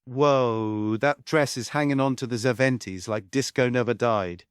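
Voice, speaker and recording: A man's voice: mid-range voice, a little slow, smooth, no echo, clean studio sound, lightly acted. Man acting a cheeky, swaggering showman. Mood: mocking. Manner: teasing, swaggering. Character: cheeky, mocking showman and mischief-maker Eli. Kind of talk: theatrical play table read.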